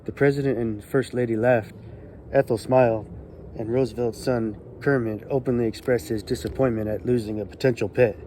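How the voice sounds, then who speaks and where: A man hosting his own channel, reading magic history from a book aloud in a calm, relaxed manner. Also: mid-range voice, normal speed, slight background noise. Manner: calm, relaxed delivery meant to soothe. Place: California.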